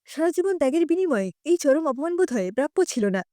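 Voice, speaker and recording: A woman's voice: sits high, big pitch swings, fast, mellow, no room sound, clean studio sound. A woman voicing a proud, commanding woman in her sixties, dignified and wounded, in an audio story. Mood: wounded, defiant.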